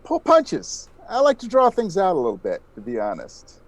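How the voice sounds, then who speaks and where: A man's voice: high-pitched, wildly swooping pitch, moderate pace. A man chatting casually as an interview guest, speaking with enthusiasm and expression. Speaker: interview guest, podcast creator.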